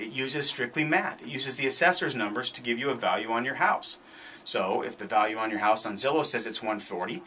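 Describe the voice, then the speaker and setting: Guy doing talk radio commentary, bright punchy voice, keeps hammering his point, bit of background noise. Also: mid-range voice. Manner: emphatic, repeats points, conversational asides. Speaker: radio show host, realtor.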